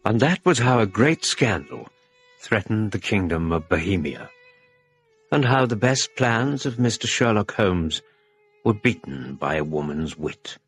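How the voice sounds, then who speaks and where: An actor playing a genial Victorian gentleman who narrates a radio drama in a measured, wry way. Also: on the low side, big pitch swings, slightly rough, faint music behind, heavily acted. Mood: wry, reflective. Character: genial Victorian gentleman, detective's companion, telling his tale.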